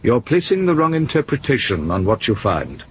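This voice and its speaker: A senior official played by a man in a 1970s radio drama, measured, evasive and condescending, with menace underneath. His intonation is varied, and the recording is a little dull and faintly noisy. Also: medium pitch, sing-song, natural voice. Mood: veiled threat. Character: smooth, evasive senior male East German official, quietly menacing.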